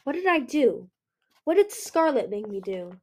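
A high, questioning young dragon warrior from a fantasy storybook, played by a woman reader. She sounds plaintive and puzzled, always ready to protest. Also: sing-song, moderate pace, darkish tone.

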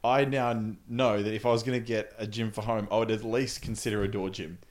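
A man's voice: on the low side, level tone, brisk. A young Australian man co-hosting a podcast, bantering casually with friends. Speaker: podcast co-host.

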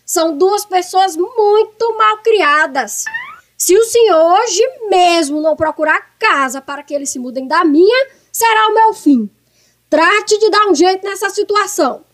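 A woman's voice: extremely high pitch, measured pace. A woman with a Northeastern Brazilian accent playing an irascible, domineering, scolding mother-in-law in a comic radio soap. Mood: indignant, exasperated. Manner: scolding, exclamatory. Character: irascible, domineering middle-aged mother-in-law, loud and theatrical.

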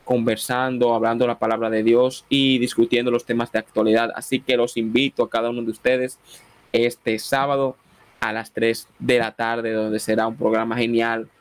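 A man's voice: mid-range voice, little pitch movement, dry recording. A man from the Dominican Republic with a Dominican Spanish accent, hosting a radio program and preaching a sermon with a closing prayer. He speaks fervently, with frequent exclamations of amen and praise. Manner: leads a repeat-after-me prayer in a slow, solemn cadence.

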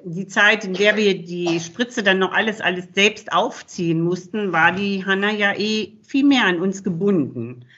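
A woman's voice: mid-range voice, unhurried, few pauses, a bit bright. An older woman, a podcast guest, speaking earnestly and seeking agreement often. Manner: earnest, tags sentences with "ne?". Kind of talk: casual interview chat. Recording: dull lo-fi sound with faint noise.

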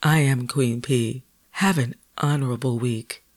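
A middle-aged woman hosting a poetry podcast with a soothing, deliberate, affirming delivery. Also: a bass voice, steady tone, dry recording. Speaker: podcast host, poet. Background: music.

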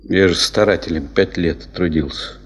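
Boastful, low-voiced folksy prospector. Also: male voice, normal speed. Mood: self-important. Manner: folksy, bragging, theatrical. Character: boastful, folksy male gold prospector, cheerfully self-important. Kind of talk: radio play acting.

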